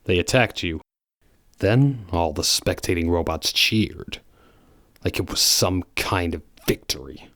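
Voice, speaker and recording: Very deep voice, grave protective antihero played by a man, clipped phrasing, audio drama, dry recording. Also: animated, measured pace, a bit bright, no room sound, heavily acted. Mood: brooding, bitter. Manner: terse, grave. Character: brooding, protective male cartoon hedgehog antihero. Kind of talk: fan-made audio drama acting.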